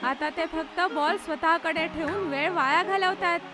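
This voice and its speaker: A woman's voice: very high voice, normal speed, over music. A woman voicing a caring, supportive little schoolgirl in a children's cartoon. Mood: urging.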